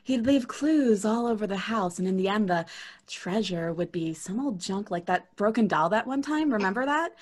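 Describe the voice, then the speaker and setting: An actress playing a whiny, fed-up young twin sister in an audio drama, complaining and sighing. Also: female voice, medium pitch, expressive, normal speed, close to an everyday voice. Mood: wistful.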